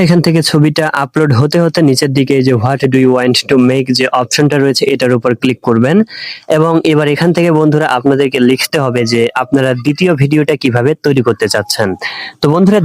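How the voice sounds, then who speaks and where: A man's voice: fairly high pitch, no echo. A man hosting a tech tutorial, narrating step by step and addressing viewers directly as friends.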